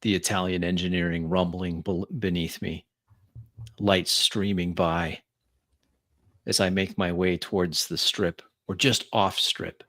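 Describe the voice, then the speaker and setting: A man narrating his character's actions in a tabletop roleplay, in measured, dramatic short phrases. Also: sits low, little pitch movement, fairly bright tone, no echo, studio quality. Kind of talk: immersive first-person roleplay narration.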